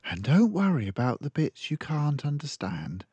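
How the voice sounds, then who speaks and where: A man voicing a kindly, concerned woman librarian from a storybook, with a gentle, proper, advising manner. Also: on the high side, sing-song, normal speed, slightly rough, no room sound, lo-fi sound, voice pushed up, full character voice. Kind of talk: storybook character voice.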